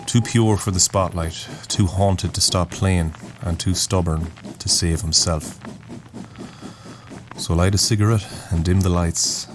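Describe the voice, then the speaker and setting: Very deep-voiced man narrating solemnly. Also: steady tone, noisy background. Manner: solemn, poetic, deliberate. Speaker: narrator, host of a story series. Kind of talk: scripted dramatic storytelling narration. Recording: music bed under the voice. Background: music.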